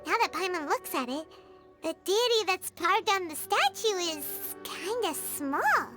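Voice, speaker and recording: A woman voicing a tiny, childlike floating fairy companion in an anime-style video game, chirpy, bubbly and excitable. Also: very high-pitched, a little slow, faint music behind, heavily acted. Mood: pondering. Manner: refers to self in third person, bubbly and exclamatory.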